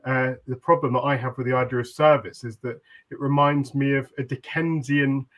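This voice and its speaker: This is a man at a mid-range pitch, talking his way through ideas on a webinar panel. He speaks in long, winding sentences full of "uh"s and restarts. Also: fairly even intonation, normal speed, fairly bright tone. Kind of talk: reflective webinar discussion.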